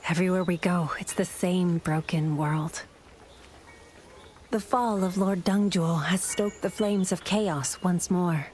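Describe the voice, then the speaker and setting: An actress playing a solemn, thoughtful female companion in a video game, speaking in a measured, earnest way over music. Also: fairly low pitch, darkish tone. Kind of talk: dramatic video game voice acting. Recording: music behind the voice.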